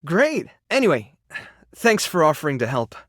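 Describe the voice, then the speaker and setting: A man playing a kind, modest, overworked animal rescue keeper in a video game, speaking in a natural, soft-hearted and hesitant way. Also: fairly high pitch, big pitch swings, normal speed, no room sound, clean studio sound. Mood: pleased, warm. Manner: soft-hearted, self-effacing, hesitant with small sighs and chuckles. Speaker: voice actor in a dating game. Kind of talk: video game character dialogue, natural and conversational.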